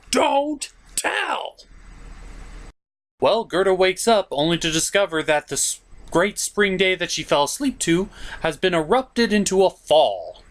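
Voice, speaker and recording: A man delivers a comedic film review with lots of swing in his pitch. His manner is sarcastic and exasperated, and he reacts to the story as he tells it. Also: a bit bright.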